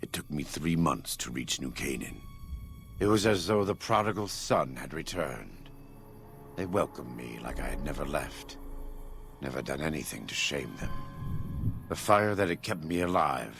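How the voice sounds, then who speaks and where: An actor playing a devout, scarred former warlord in a video game, speaking in a solemn, measured, preacher-like way with a gravelly voice. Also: male voice, animated, over music, heavily acted. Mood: solemn, fervent. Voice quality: very deep, gravelly. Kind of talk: video game character dialogue.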